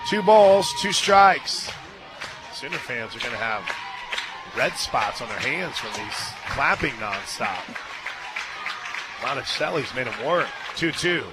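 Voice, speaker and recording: A man doing live radio play-by-play commentary of a softball game as the announcer. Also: medium pitch, lively intonation, slow, long pauses. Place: outdoor softball stadium radio booth. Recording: stadium crowd noise and music behind. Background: crowd and music.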